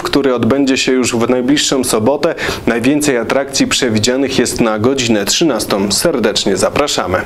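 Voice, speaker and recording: A man's voice: mid-range voice, a little hiss or hum. A young man presenting a regional news bulletin in a small studio, reading steadily from a script. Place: small studio in front of a brick wall.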